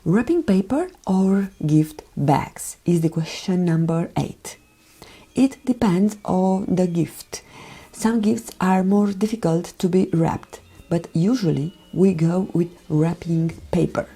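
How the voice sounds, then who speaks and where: A woman from Romania with a Romanian accent, speaking a gentle, careful voiceover for her makeup tutorial, often dropping near a whisper. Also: on the low side, takes it slowly, slightly rough. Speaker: beauty vlogger. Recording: voiceover with background music.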